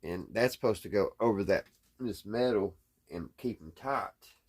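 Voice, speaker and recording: A man chatting casually in a halting, puzzled, folksy way, thinking out loud as he tinkers. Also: lowish voice, breathy edge. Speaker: host of a radio repair hobby channel. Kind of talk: casual hands-on repair chat, thinking out loud.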